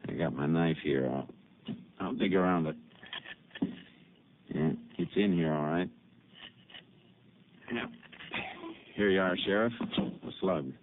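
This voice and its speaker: A man with an American accent acting a methodical Texas Ranger in a 1950s radio drama, measured and matter-of-fact as he narrates and plays scenes. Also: deep voice. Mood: explaining. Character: steady, methodical Texas Ranger lawman, male detective. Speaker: lead actor and narrator. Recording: noisy, narrow-band old radio recording.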